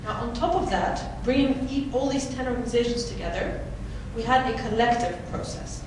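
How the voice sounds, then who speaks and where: A woman from the Netherlands giving a conference presentation, explaining clearly with occasional fillers. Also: a little high, normal speed. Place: large conference hall. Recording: echoey hall, mic from far off, noisy.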